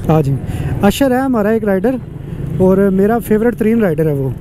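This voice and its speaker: A man's voice: high-pitched, animated, normal speed. A Pakistani man chatting casually with a riding partner over a helmet intercom while out on a motorbike. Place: outdoors on a motorbike in city streets. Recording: intercom mic with loud traffic and engine noise.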